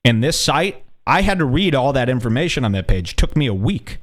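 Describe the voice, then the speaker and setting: A man's voice: mid-range voice, sing-song, brisk. A man playing an aggrieved, self-important businessman making an indignant, exasperated customer complaint on a prank call.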